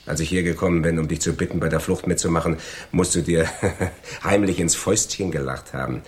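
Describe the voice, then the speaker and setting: Very deep, husky, scheming crook. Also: male voice, steady tone. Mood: accusing, scornful. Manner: taunting, deliberate, menacing. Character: cold, gloating male criminal double-crossing his accomplices. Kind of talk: German radio crime drama acting.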